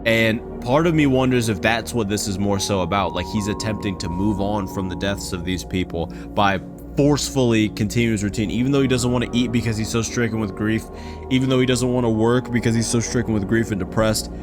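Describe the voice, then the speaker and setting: Casual male reaction commentator, animated and rambling, speaking over prominent background music. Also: on the low side, brisk. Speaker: reaction video creator. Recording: loud music under the voice. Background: background music from games.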